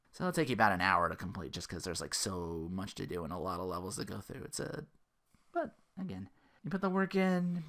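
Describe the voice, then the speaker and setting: A man's voice: sits low, brisk. A man hosting a podcast, giving casual, rambling gaming commentary with little acted-out asides. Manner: rambling, animated asides, acting out little quotes. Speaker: podcast host.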